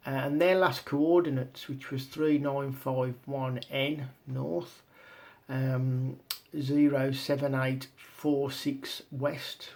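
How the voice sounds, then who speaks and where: A man giving informal explanatory commentary as a video presenter, talking in a halting, step-by-step way. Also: mid-range voice, gravelly, darkish tone. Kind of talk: informal explanatory commentary over on-screen maps and documents.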